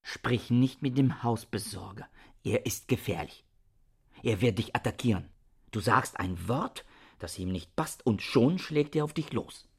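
Husky, suspicious male scholar. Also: mid-range voice, animated, a little slow, darkish tone. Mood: urgent, distrustful. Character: suspicious, agitated, self-righteous middle-aged male scholar. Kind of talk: radio play acting in German.

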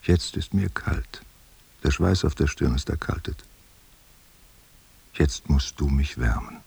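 A man's voice: extremely low pitch, takes it slowly, vocal fry, darkish tone, husky, full character voice. An actor playing an aging, wistful stage actor who tenderly courts a younger woman, musing and questioning in an intimate radio play. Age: older.

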